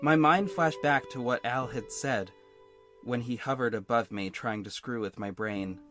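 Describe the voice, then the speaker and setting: A man's voice: medium pitch, a little slow, fairly bright tone, lightly acted. A man playing a young adult superhero who narrates his own story in a hurt, frank, introspective way for an audio drama. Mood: brooding, reflective. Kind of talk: first-person audio drama narration with dialogue. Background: instrumental music.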